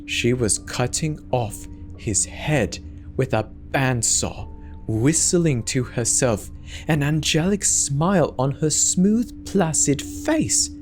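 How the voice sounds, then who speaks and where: A man's voice: medium pitch, animated, slow. Man narrating horror over music. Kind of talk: dramatic scripted horror narration.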